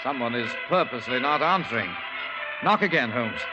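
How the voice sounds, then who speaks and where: A man's voice: mid-range voice, lively intonation, brisk, natural voice, low-quality recording. A man playing an earnest, loyal army doctor. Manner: earnest, eager, exclamatory. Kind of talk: 1940s radio acting. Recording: old radio broadcast with music under it.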